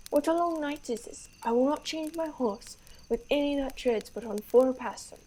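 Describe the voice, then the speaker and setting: Young woman as vain French prince for radio Shakespeare. Highish, soft-edged voice, declamatory, besotted with his horse. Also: normal speed, slight background noise, lightly acted. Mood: boastful, rapturous. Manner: grandiose, declamatory. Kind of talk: radio theater Shakespeare acting.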